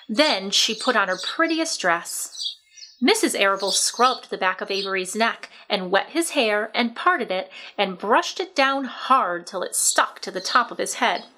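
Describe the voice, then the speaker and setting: A woman narrating a children's book aloud in an expressive read-aloud style, with careful, deliberate enunciation. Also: medium pitch.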